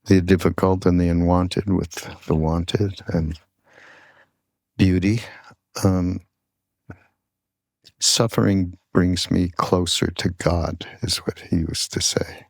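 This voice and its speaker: Slow, gravelly low-voiced older man. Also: level tone, no room sound. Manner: halting, searching for words. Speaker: podcast host. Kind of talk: reflective conversational storytelling.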